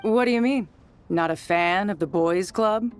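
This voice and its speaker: A woman's voice: mid-range voice, unhurried, clean tone, faint music behind, natural voice. An actress playing a blunt teenage girl in a video game, speaking in a casual, plain-spoken way. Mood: blunt, impatient. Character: blunt, once hot-tempered teenage girl, now calmer.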